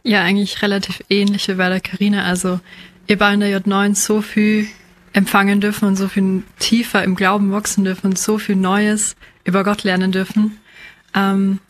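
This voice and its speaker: A young Austrian woman answers on a radio show in an earnest, hesitant way with fillers. Her voice is mid-pitched and fairly flat in its melody. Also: normal speed. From Lower Austria. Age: 20s. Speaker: student guest.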